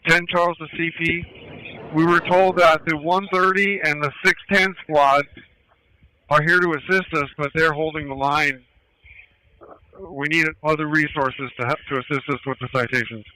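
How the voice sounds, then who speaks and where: A man's voice: on the high side, fairly even intonation, normal speed, bright, forceful tone, clean tone, dry recording, poor audio. A man reporting as a field police officer over a police radio. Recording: police scanner with faint noise.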